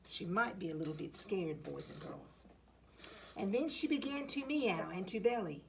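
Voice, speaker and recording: A woman reading a children's picture book aloud, with dramatic, expressive delivery and deliberate pauses. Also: mid-range voice, gravelly. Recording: distant mic, faint background noise.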